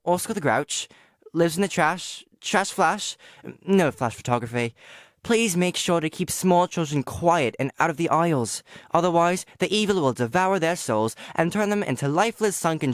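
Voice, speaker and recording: A man's voice: on the high side, clear, resonant tone, no room sound, studio quality, lightly acted. An actor playing a cheeky, rambling male theater announcer with a Cockney accent, full of asides in a comic audio drama. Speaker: pre-show announcer.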